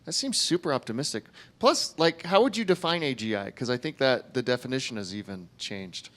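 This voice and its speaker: A man's voice: mid-range voice, expressive, a little fast, fairly bright tone. A man on a panel giving a casual opinion, using "like" as a filler.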